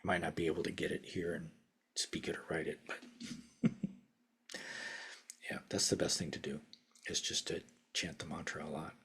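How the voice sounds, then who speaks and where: An older man teaching casually over a video call from his home study. His talk rambles, with restarts, chuckles and phrases that trail off softly. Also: very deep voice, level tone, normal speed, pauses often, slightly rough. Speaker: meditation teacher. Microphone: video call webcam.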